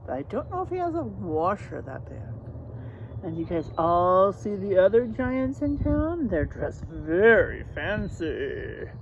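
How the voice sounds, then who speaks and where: A woman's voice: mid-range voice, very animated, a little slow, muffled recording, a little hiss or hum. A woman reading a storybook aloud for children as host, explaining simply and addressing young listeners directly.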